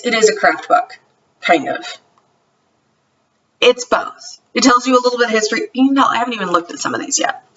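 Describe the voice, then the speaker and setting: A woman's voice: mid-range voice, bright, forceful tone. A woman chatting casually in a homemade show-and-tell vlog, rambling conversationally and correcting herself as she goes. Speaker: homeschooling parent vlogger. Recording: dull lo-fi, distant mic, faint noise.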